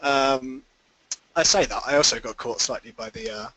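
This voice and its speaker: A man's voice: medium pitch, normal speed, bright, forceful tone, poor audio. A man giving informal, explanatory answers as an investor guest in a conference call interview.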